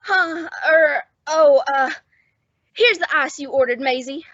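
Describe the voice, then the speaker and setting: A woman's voice: sits high, takes it slowly, fairly bright tone, no echo. A woman playing an eager, awkward, smitten young bellboy with a flustered, hesitant manner in old-time radio comedy style. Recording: dull lo-fi close dry recording.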